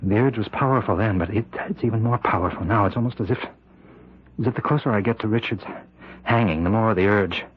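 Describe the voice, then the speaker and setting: An actor playing a tormented, frightened prison warden in an old-time radio drama, speaking haltingly and repeating himself in anguish. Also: male voice, lowish voice, slightly rough. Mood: desperate, warning. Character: tormented prison warden husband, fearful he is possessed. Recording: muffled old radio recording.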